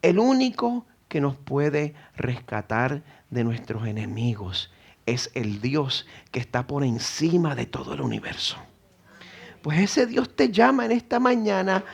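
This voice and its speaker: A man's voice: fairly high pitch, vocal fry. A middle-aged man with a Puerto Rican accent preaching an earnest sermon in church, rising to emphatic exhortation. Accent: Puerto Rican Spanish. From Puerto Rico. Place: church sanctuary.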